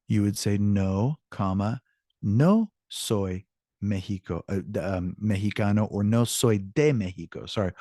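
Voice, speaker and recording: A man's voice: on the low side, mellow, no room sound, clean studio sound. A man teaching an online language lesson, informal and encouraging as he explains examples and switches between two languages. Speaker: Spanish teacher. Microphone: video call.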